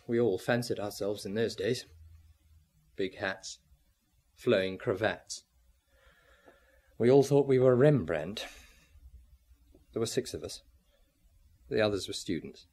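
A man's voice: fairly low pitch, a little mellow, husky. An actor playing a former art student wearily recalling his youth in a radio drama. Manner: reminiscing, narrative. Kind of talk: old radio drama acting.

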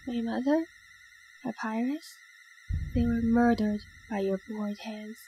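A woman's voice: on the high side, fairly even intonation, takes it slowly, dark tone, a little hiss or hum, poor audio. A woman playing a cold, sadistic villainous child, taunting and mock-sweet, in a dramatic comic dub. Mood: cold accusing.

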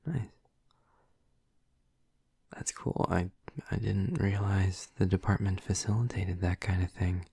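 Low, breathy, gentle vampire boyfriend, acted by a man. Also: steady tone, slow pace, pauses often, no room sound, exaggerated voice. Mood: gentle interest. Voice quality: breathy, husky, often near a whisper. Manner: gentle, hesitant, softly attentive. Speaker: audio roleplay performer. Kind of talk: intimate audio roleplay, ASMR-like.

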